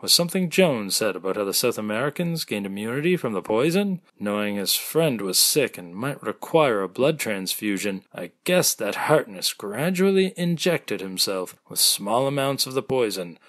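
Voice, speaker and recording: Man acting earnest doctor, widely swinging low voice. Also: big pitch swings, normal speed, hardly any pauses, a bit bright. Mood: vindicated, assured. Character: earnest, suspicious male hospital doctor sure of his judgment. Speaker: actor in an amateur audio drama. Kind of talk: remake of classic radio mystery acting.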